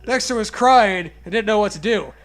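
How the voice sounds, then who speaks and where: A man's voice: high voice, moderate pace, heavy background noise. A young man hosting a comedy podcast, reading bad fan fiction aloud in a casual, irreverent, jokey way. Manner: jokey, irreverent, laughs often.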